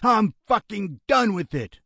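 A man's voice: on the high side, expressive, measured pace, no echo, clean studio sound, pitched above the performer's own voice. A man voicing a violent, foul-mouthed criminal with an aggressive, sneering delivery for an audiobook thriller. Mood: shouting, enraged.

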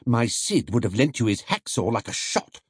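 A man's voice: medium pitch, big pitch swings, normal speed, husky, no room sound, studio quality. A man voicing an opinionated, indignant woman who runs a knick-knack stall, in an audiobook.

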